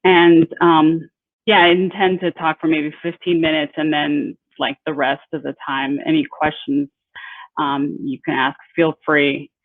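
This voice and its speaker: A woman in her thirties from Peru, an engineer giving an informal talk about her company, halting with many fillers and restarts. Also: low-pitched, flat delivery, no room sound. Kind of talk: informal presentation. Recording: tinny phone-like audio, dry.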